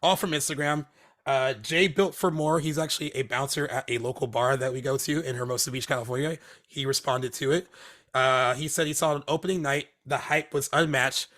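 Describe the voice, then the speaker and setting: A man co-hosting a casual movie podcast, chatting loosely with lots of ums and restarts. His voice is mid-pitched and is still recovering from a bout of laryngitis. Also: fairly quick. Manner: relaxed, rambling, many fillers and restarts. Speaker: podcast co-host. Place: Hermosa Beach, California.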